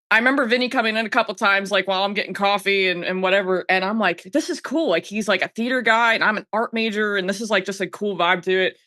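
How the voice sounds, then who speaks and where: A woman in her thirties with an American accent talks as a podcast guest. Her voice is bright and sits at a middling pitch. She is chatty and candid in casual conversation, and the sound around her is dry. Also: no room sound. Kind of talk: casual chat.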